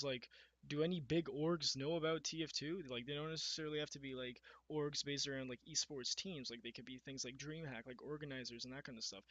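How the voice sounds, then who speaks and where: A young man with a slightly raspy, fairly high voice talks casually and a little nervously, stumbling and restarting his sentences. He records on a webcam mic, so the sound is dull and very dry. He speaks as a gamer hosting his own video podcast. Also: a bit bright, dry recording. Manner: shaky and nervous, many fillers and restarts. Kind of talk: casual unscripted talk, reading from notes.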